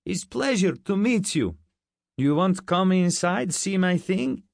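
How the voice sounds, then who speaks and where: A man's voice: sits high, expressive, measured pace, gravelly, dry recording, voice pushed up, exaggerated voice. A big, crude Russian guard, with a thick accent. He talks in blunt broken English and spaces out his phrases, jovial and unbothered, recorded close and dry. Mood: eager, friendly.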